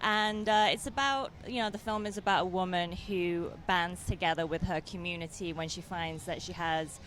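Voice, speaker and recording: A woman's voice: on the low side, steady tone, normal speed, fairly bright tone, a little hiss or hum. A young adult woman from England with an English accent, answering interview questions as a film director, hesitant with many fillers and restarts.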